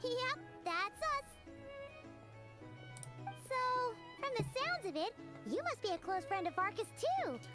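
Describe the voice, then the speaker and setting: Childlike floating game sidekick: squeaky, chirpy, chatty, very high and lively, music underneath. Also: female voice, slow pace, long pauses, full character voice. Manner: refers to herself in third person, chirpy. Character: small floating fairy companion, childlike, chatty and excitable. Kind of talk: anime game voice acting.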